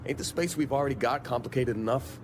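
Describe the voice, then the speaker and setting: Cocky young space miner male actor, skeptical, folksy, mid-pitched, with clear noise behind him. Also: fast talker, close to an everyday voice. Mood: scoffing. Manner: folksy, scoffing, colloquial. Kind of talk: video game voice acting.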